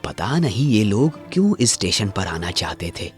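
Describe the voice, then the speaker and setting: Man playing cartoon everyman, spooky story, lowish voice, expressive intonation, conversational, background music. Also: normal speed. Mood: puzzled, indifferent.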